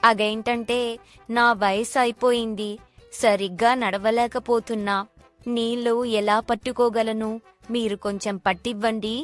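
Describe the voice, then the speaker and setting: A woman's voice: on the high side, level tone, unhurried, faint music behind, close to an everyday voice. A woman as a plain-spoken old village granny. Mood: pleading. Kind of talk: cartoon moral story dialogue.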